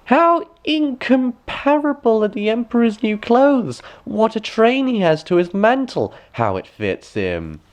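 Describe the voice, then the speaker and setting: A man's voice: high voice, sing-song, a little slow, hardly any pauses, pitched above the performer's own voice. A man voicing fawning storybook courtiers who gush with exaggerated, false admiration.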